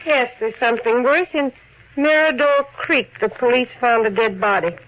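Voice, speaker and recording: A woman's voice: on the high side, normal speed, lightly acted. An actress playing a practical, worried wife in a radio mystery, questioning her husband in a plain, insistent way. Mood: matter-of-fact. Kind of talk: 1940s radio mystery acting. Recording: muffled vintage radio recording, faint noise.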